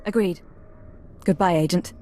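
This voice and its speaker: A woman playing an aloof, guarded intelligence handler in a sci-fi video game, crisp and businesslike. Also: on the low side, steady tone, moderate pace, mellow, a little hiss or hum, lightly acted. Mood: composed, guarded. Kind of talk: scripted video game dialogue.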